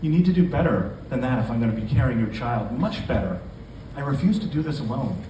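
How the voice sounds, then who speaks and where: A man's voice: medium pitch, animated, fast talker, warm tone, close to an everyday voice. A man reading aloud the part of an anxious, hurt pregnant woman in literary fiction, emphatic and pleading. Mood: hurt, reproachful. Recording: echoey live room, distant mic, noisy.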